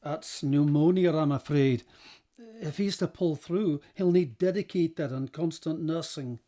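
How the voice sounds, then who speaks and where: A man playing a grave, courteous village doctor with a measured manner in a period audio drama. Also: fairly high pitch, dark tone, natural voice. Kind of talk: period radio-play acting.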